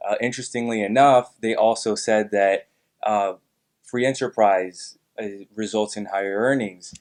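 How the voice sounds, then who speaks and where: A young man from Miami answering interview questions in a measured way, with frequent ums and uhs. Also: lowish voice, steady tone, a little mellow. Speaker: guest, political club officer.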